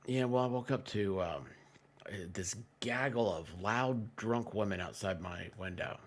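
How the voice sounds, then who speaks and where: A man chatting casually as a livestream co-host, with an animated, bantering manner. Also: lowish voice, a little slow.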